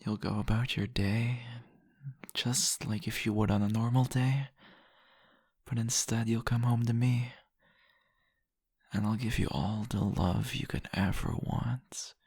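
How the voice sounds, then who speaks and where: A man playing a seductive, possessive vampire in an intimate ASMR roleplay, teasing and murmuring deliberately in a husky, gravelly voice. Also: on the low side, flat delivery, no room sound, studio quality.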